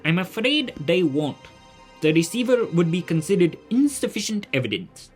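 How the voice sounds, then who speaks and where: A man's voice: high voice, very animated, moderate pace, slightly rough, faint music behind, full character voice. A man playing a polite, modest genius mentor in a family audio drama, with formal, hesitant phrasing. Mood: gentle, measured.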